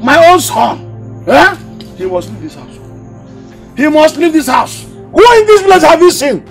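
A man's voice: high voice, very animated, moderate pace, faint music behind. A man with a Nigerian Igbo accent playing an exasperated, stubborn middle-aged village father in a film drama, emphatic and given to repeating himself. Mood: outraged. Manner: emphatic, repeats phrases, mixes Igbo and English. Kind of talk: Nollywood film drama acting.

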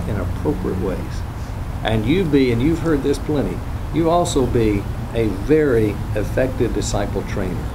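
A man's voice: mid-range voice, background noise. A man officiating an outdoor wedding, earnestly charging the bride and groom in a sermon style, recorded from a distance outside a stone church. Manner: earnest, exhorting, repeats "I charge you". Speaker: officiating pastor.

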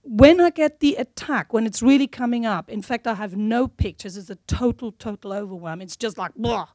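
A woman's voice: a little high, a little mellow, no room sound. Middle-aged woman with a German accent. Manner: halting, restarts often. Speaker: seminar volunteer. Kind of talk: telling a personal story on stage. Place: room at a live seminar. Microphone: handheld mic.

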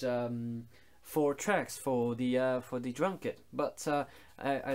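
A man giving an informal explainer as a home-studio musician, speaking in a halting way with many filler pauses. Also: mid-range voice. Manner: halting, hesitant delivery with frequent long filler pauses. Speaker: home-studio musician presenting his recording process.

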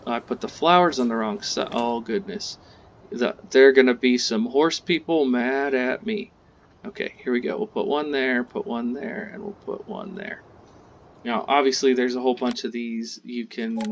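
A man hosting a casual gameplay tutorial, chatting in a relaxed way as he plays, with game sounds faintly behind. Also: medium pitch, a little hiss or hum. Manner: relaxed, chatty, talks while playing. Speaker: gaming channel host.

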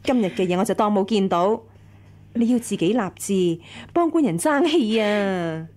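An actress with a Hong Kong Cantonese accent playing a stern, self-righteous senior wife in a radio drama, scolding and imperious. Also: female voice, mid-range voice, expressive, normal speed, a little mellow, natural voice. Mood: stern, lecturing.

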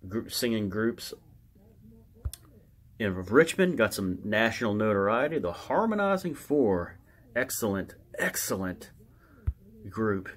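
A man giving casual, unscripted show-and-tell commentary as a collector, halting and muttering to himself as he reads aloud. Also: on the low side, big pitch swings. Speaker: record collector showing his records.

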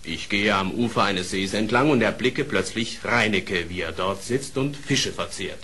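An actor playing an accusing wolf vassal in a fairy-tale audio play, telling things in a formal, plaintive way. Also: male voice, fairly low pitch, moderate pace, sharp tone, slight background noise. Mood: aggrieved. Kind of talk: German fairy-tale radio play acting.

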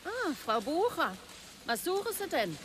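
A high-pitched, courteous woman in radio drama dialogue, the curious daughter in a family shop. Faint ambience sits behind her. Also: sing-song, a little slow, background noise, heavily acted. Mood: polite. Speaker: supporting actress.

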